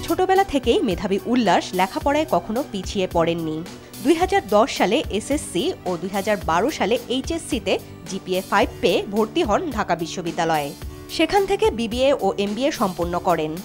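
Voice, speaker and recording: Lively Bangladeshi woman narrating over music. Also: mid-range voice, normal speed. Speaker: reporter narrator. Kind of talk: scripted news feature narration.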